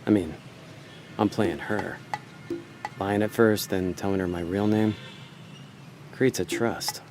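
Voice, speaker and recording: A man's voice: lowish voice, steady tone, slow, background noise. An actor playing a laid-back con man who narrates a noir audio drama in a wry, slangy, hard-boiled way. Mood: wry, brooding. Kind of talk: noir audio drama acting with inner monologue.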